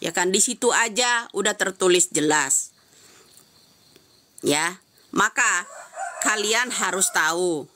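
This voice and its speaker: A woman's voice: mid-range voice, takes it slowly, pauses often, bright, forceful tone, a little hiss or hum. A woman giving heated live-stream commentary, answering comments with insistent, repeated rhetorical questions.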